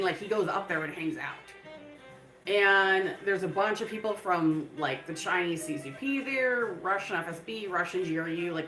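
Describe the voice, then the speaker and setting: A woman's voice: medium pitch, fairly bright tone, echoey room, low-quality recording. A woman hosting a live stream, talking in a loose, rambling way full of fillers. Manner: breaks into laughter. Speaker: livestream host.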